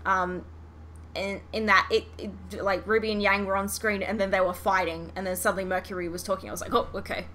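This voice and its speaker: Bright-voiced woman, noisy podcast recording. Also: medium pitch, flat delivery, a little fast. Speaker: podcast host. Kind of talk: casual fan chat reviewing a show.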